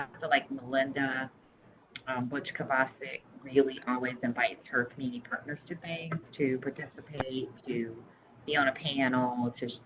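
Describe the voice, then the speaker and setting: A woman being interviewed over the phone, talking casually and informally about her work, with lots of "like". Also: extremely low pitch. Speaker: interviewee, community engagement program staffer. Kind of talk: casual research interview, conversational. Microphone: phone line. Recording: muffled lo-fi phone call, clear noise.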